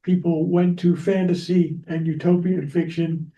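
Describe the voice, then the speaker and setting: A man moderating a library panel, speaking off the cuff in a halting way. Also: sits high, flat delivery, mellow. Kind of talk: unscripted remarks at a library panel. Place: echoing library event room. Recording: roomy, muffled, distant microphone.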